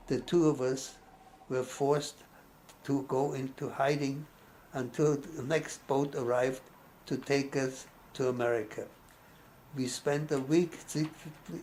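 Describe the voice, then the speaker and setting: A man's voice: medium pitch, darkish tone, slight background noise, rough recording. A man, reading his life story aloud and often stopping to restart a word. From Austria. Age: 90s. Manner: halting, restarts words mid-phrase. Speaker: memoir narrator.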